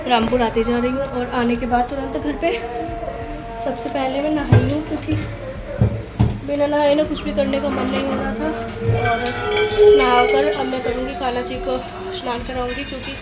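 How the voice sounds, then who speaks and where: A young woman vlogging casually, her voice hoarse from a cold and her talk full of fillers. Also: high voice. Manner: chatty, many fillers like "na" and "matlab". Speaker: family vlogger. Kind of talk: casual vlog narration.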